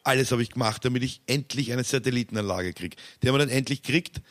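Young Viennese male host, lively anecdote and joke teller, medium-pitched voice. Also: fast. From Austria. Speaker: podcast host. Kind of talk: casual podcast chat.

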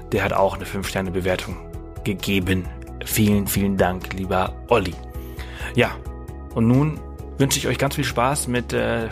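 A man's voice: on the low side, vocal fry. A man hosting a podcast, chatting casually with his guest, with many fillers and restarts. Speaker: podcast host. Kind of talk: casual interview chat.

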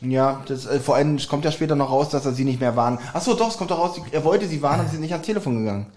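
A teasing man with a fairly high voice co-hosting a casual podcast. He cuts in often and talks in a loose, joking way. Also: racing, a little mellow. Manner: teasing, interjects and refers to his notes. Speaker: podcast co-host. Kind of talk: casual chat.

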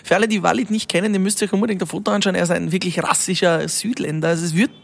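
Creaky, high-voiced male interviewer. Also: steady tone, rapid-fire. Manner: chatty, asks follow-up questions. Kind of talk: casual interview.

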